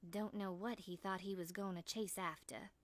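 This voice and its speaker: A woman voicing a friendly, easygoing woman in her thirties with a honey-smooth Southern American accent, in an audiobook story. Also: mid-range voice, normal speed, no room sound, close to an everyday voice. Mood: apologetic, folksy. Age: mid-thirties. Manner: relaxed, folksy.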